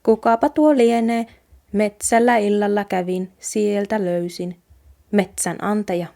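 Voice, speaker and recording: A woman reads a humble young man from a storybook folk tale. He sounds downcast and hesitant, with a soft mellow tone. The delivery is gentle and unsure. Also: medium pitch, steady tone, creaky, close to an everyday voice. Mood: evasive.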